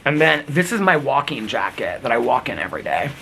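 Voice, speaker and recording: A man vlogging outdoors in wind and snow, talking casually in a rambling, giggly way with a husky, crackly voice. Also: medium pitch, brisk. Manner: rambling, giggly, animated storytelling. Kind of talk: casual chatty vlog. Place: outdoors in windy snowy weather.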